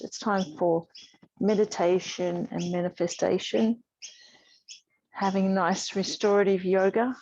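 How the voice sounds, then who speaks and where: Low-voiced woman guiding yoga, muffled sound. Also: darkish tone, slight background noise. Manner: soothing, unhurried guidance with pauses. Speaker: yoga teacher. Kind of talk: guided yoga class instruction.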